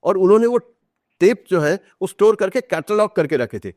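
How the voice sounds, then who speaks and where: A man's voice: high-pitched, sing-song, normal speed, darkish tone, no room sound, studio quality. A man with an Indian accent tells stories in an interview, switching between Hindi and English with emphatic repetition. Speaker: author, podcast guest. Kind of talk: conversational storytelling in an interview.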